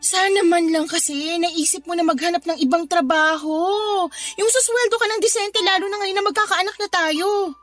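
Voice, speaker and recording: An actress playing a worried, exasperated pregnant young wife arguing in a radio drama. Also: female voice, very high voice, normal speed, a bit bright, no room sound. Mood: reproachful. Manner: exasperated, scolding, argumentative. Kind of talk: Tagalog radio drama acting. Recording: music bed behind the voice.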